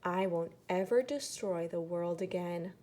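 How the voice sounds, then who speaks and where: A woman's voice: on the low side, measured pace, mellow, close to an everyday voice. A woman voicing God in a children's storybook as a kindly, commanding figure, emphatic and caring. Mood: tender promise.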